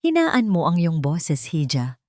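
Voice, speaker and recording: A woman's voice: low-pitched, big pitch swings, measured pace, no echo, studio quality. A Filipino woman voicing a loyal, kindly old servant man in a storybook drama, speaking with cautious urgency. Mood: cautious, urgent. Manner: hushed, urgent.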